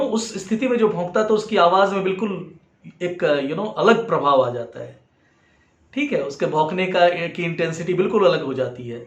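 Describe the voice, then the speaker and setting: Indian accented guy explaining stuff like a teacher, slow and measured, echoey room. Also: on the high side. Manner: measured, demonstrates points with acted example phrases. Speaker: music teacher and video host. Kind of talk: explanatory lesson talk. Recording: roomy, echoing sound.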